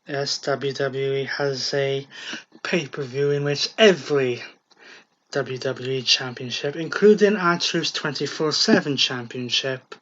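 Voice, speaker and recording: Male podcast co-host, unhurried delivery, muffled lo-fi audio. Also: mid-range voice, fairly bright tone. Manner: slow, hesitant, with fillers and laughs. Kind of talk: casual wrestling chat. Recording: dull lo-fi home recording.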